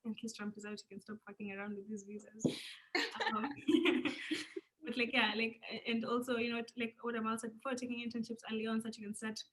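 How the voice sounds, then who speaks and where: A woman's voice: fairly high pitch, level tone, fairly quick, a bit bright, a little hiss or hum, rough recording. A woman giving career advice in casual panel chat, frank and matter-of-fact.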